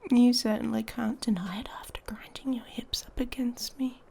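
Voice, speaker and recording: A woman playing an irritated, haughty female werewolf in an intimate ASMR roleplay, breathy and often whispering, taunting and seductive. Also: on the high side, fairly even intonation, dark tone. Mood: seductive, daring.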